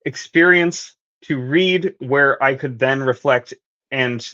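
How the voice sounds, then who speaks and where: A man chatting casually as a guest, talking in halting, restarted phrases. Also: medium pitch, pushed and bright. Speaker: guest on a fan discussion show. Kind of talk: casual chat. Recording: slightly dull lo-fi audio.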